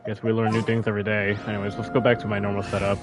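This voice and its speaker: A man's voice: fairly low pitch, completely flat delivery, very fast. A man giving casual gameplay commentary, rambling and thinking aloud as he plays. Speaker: gaming video creator. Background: game music.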